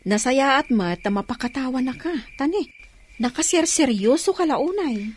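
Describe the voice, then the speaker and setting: A woman's voice: sits high, expressive, normal speed, slight background noise. A woman with an Ilocano accent playing a playful, teasing friend in a radio-style drama.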